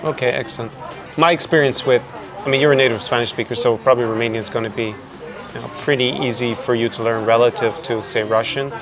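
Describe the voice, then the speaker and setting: A man hosting a casual podcast interview, chatty and quick with frequent restarts, recorded outdoors in a city. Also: medium pitch, steady tone, a crowd in the background. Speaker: podcast host.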